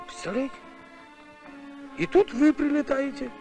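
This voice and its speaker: A man's voice: very high-pitched, a little slow, warm tone, soft background music. A man voicing a fussy, anxious doctor in a cartoon, speaking in an agitated way.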